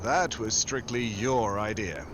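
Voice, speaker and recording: A man's voice: lowish voice, slow pace. Man acting a wry American agent, noisy recording. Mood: calm, persuasive. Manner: wry, nonchalant. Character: unflappable, wisecracking American male secret agent. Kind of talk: 1940s radio drama acting.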